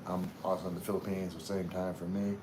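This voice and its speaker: A very deep-voiced man from Louisiana, chatting loosely with his live audience and answering comments as they come in. He talks in a relaxed, meandering way, full of "uh" and "you know". A faint hum sits under his voice. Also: quick pace. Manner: relaxed, rambling, many fillers and restarts. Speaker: livestream host reading chat. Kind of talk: casual live chat.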